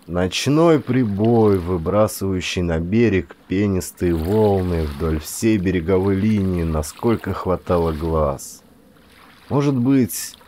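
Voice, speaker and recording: A man reading a first-person story aloud as an audiobook narrator, measured and expressive. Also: deep voice, a little hiss or hum.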